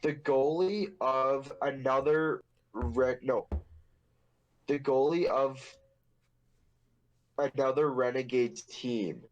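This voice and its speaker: A man's voice: medium pitch, slow, long pauses, lo-fi sound. A young man hosting a casual podcast, telling a story in a rambling, profane, joking way. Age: young adult. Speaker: podcast host.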